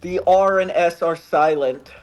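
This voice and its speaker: A man's voice: on the high side, a little slow, faint music behind, low-quality recording. A man bantering casually as a co-host, with a crude, rambling way of joking. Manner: crude, rambling comic riffing.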